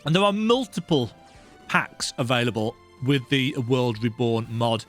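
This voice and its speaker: Male let's play host: casual, conversational and rambling, with animated pitch movement over light background music. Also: measured pace. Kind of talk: casual game commentary.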